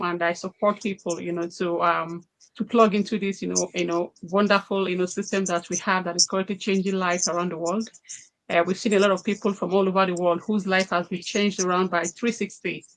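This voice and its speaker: A woman from Nigeria speaks haltingly and fills her sentences with "you know." Her voice sits somewhat low, and she is heard over a video call. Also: flat delivery, rough recording. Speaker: guest on live stream.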